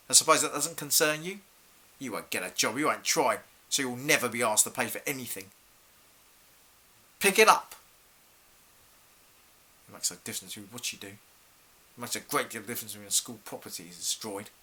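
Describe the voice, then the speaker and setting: Sharp, exasperated male head teacher, played by an actor. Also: medium pitch, poor audio. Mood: weary, cold. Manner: accusing, formal, clipped rhetorical questions. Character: stern, exasperated head teacher scolding a silent pupil. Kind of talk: theatrical monologue for an acting showreel.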